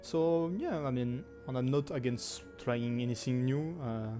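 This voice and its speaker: A man's voice: medium pitch, unhurried, music in the background. A man in his twenties with a strong French accent, chatting casually to his gaming channel's viewers, rambling with lots of fillers and self-corrections. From France. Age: 20s. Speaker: gaming YouTuber. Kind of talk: casual anniversary chat to camera.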